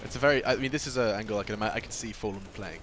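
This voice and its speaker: A man's voice: on the low side, very fast, noisy background. A man commentating live on a video game match, mixing play-by-play with casual banter. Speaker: esports commentator.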